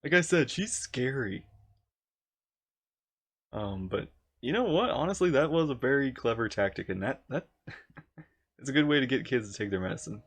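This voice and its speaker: A woman giving casual livestream reaction commentary on a game, halting, informal and giggly. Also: a bass voice, expressive, slightly rough. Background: game music.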